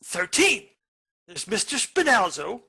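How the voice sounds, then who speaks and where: A man's voice: high voice, very animated, a little slow, creaky, bright, forceful tone, breathy edge, heavily acted. A man playing a menacing, boastful murderer in a radio play, with an emphatic, theatrical manner.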